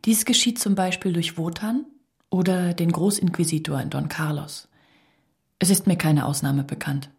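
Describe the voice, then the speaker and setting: A woman with a fairly low voice delivers scripted literary narration. Her reading is formal and measured, each statement set out deliberately. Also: creaky. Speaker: reader of a literary radio text.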